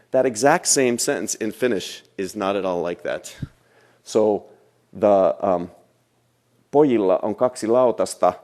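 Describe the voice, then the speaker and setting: A man's voice: fairly low pitch, a little mellow. A man giving a lecture as a language teacher, explaining step by step and repeating phrases for emphasis. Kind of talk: classroom lecture.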